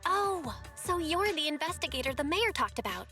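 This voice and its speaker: An actress playing a friendly, curious young heroine in a video game, with an earnest, casual manner. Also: female voice, high voice, big pitch swings, moderate pace, bright, forceful tone, soft background music, full character voice. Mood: surprised, curious.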